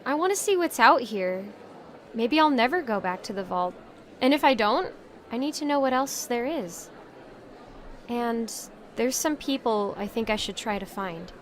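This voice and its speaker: A woman's voice: fairly high pitch, animated, moderate pace, close to an everyday voice. A woman playing a naive, curious and earnest young wanderer in an audio drama. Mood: earnest, determined.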